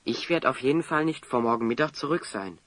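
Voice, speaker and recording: A man's voice: medium pitch, lively intonation, fairly quick, a bit bright, dry recording, natural voice. A man playing a brave, righteous young hero in an audio drama, with an emphatic, declamatory delivery. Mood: determined. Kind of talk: German audio drama acting.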